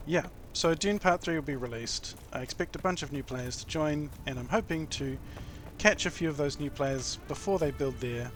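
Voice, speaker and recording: A man from New Zealand with a New Zealand accent, giving relaxed, rambling gameplay commentary full of ums, his sentences often rising at the end. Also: on the high side, normal speed, hardly any pauses, a little hiss or hum. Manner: sentences ending with an upward inflection. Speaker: gaming YouTuber. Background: epic game soundtrack music.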